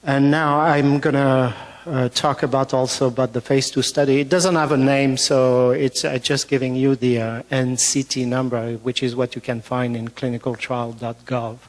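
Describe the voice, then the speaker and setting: A man's voice: mid-range voice, level tone, moderate pace, few pauses. French-accented man presenting in a hall. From France. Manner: hesitant, many fillers and restarts. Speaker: physician giving a conference talk. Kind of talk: conference presentation. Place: conference hall. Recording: long reverb of a hall.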